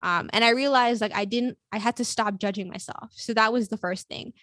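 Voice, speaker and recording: A woman's voice: on the high side, fairly even intonation, fairly quick, fairly bright tone, dry recording, studio quality. A young adult woman talking casually as a podcast guest, emphatic and encouraging, peppering her sentences with "like". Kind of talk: casual conversational interview.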